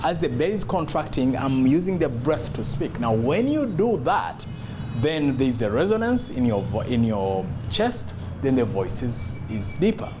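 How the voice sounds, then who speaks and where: A man's voice: medium pitch, big pitch swings, unhurried, music in the background. A man giving an instructional talk as a public speaking coach, emphatic and demonstrative, repeating key phrases.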